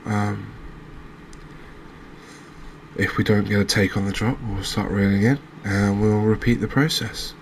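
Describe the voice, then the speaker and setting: A man giving gentle, unhurried ASMR commentary as he plays a game, pausing and hesitating as he goes. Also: on the low side, level tone, a little hiss or hum. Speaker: host of a gaming channel. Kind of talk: ASMR let's-play commentary.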